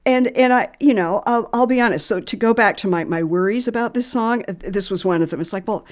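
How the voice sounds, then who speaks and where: A woman hosting a reflective music conversation, speaking thoughtfully and haltingly, often repeating words and phrases as she goes. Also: mid-range voice. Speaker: podcast host.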